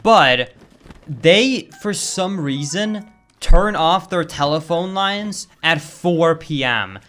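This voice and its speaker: A young Swedish man talks through his stream in a slightly high, bright voice. His delivery is casual and chatty, with loud flare-ups and swearing when the game lags. The Swedish accent is clear throughout. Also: animated, takes it slowly, soft background music. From Sweden. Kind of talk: live-stream gameplay commentary.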